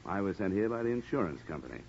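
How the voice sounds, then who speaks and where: An actor playing a sardonic, hard-boiled insurance investigator in an old-time radio noir drama. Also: male voice, deep voice, sing-song, rapid-fire, a little mellow, a little hiss or hum. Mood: dry, pointed. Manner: sardonic, dry. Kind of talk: 1940s-50s radio acting, noir narration. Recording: muffled old radio recording.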